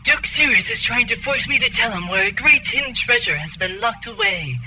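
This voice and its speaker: A woman's voice: extremely high pitch, big pitch swings, fairly quick, creaky, bright, forceful tone, a little hiss or hum, exaggerated voice. A woman playing a young captive noblewoman who pleads theatrically over a radio transmission in an audio drama. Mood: pleading, urgent. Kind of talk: melodramatic audio drama acting. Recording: music behind the voice.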